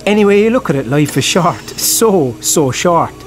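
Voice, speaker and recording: An actor playing an earnest young film-maker from Cork with an Irish accent, hesitant and informal, tactful but probing in a naturalistic audio drama. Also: male voice, fairly high pitch, sing-song, normal speed, soft background music. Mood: reflective.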